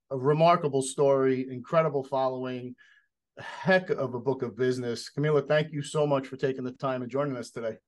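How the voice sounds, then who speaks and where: A man hosting a podcast interview in an easygoing, folksy way. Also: mid-range voice. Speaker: podcast host.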